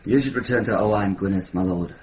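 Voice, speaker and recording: A low male voice acted as a medieval deputy sheriff in a radio drama, frank and practical. His delivery is direct and matter-of-fact, with clear noise on the recording. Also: quick pace, a little mellow, natural voice. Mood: businesslike.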